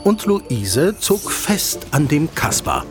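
A man narrating a scripted children's audio drama as an expressive storyteller with deliberate phrasing. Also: on the high side, over music.

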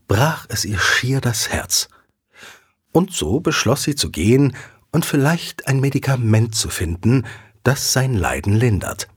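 A man narrating a scripted audio drama as a dramatic, expressive storyteller. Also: mid-range voice, slightly rough, dry recording, studio quality. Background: background music.

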